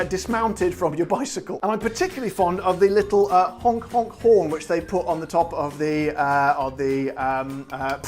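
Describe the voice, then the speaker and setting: Rambling British man reviewing gin. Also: high voice. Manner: rambling and self-deprecating, stumbles over words. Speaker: gin review show host. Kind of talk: casual spoken product review.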